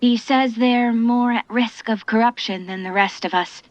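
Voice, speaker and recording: Woman acting a playful, high-voiced young fantasy heroine. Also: fairly even intonation, normal speed, dry recording, natural voice. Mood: earnest. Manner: teasing, conversational. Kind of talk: fantasy audio drama acting. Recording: dull, dry close recording.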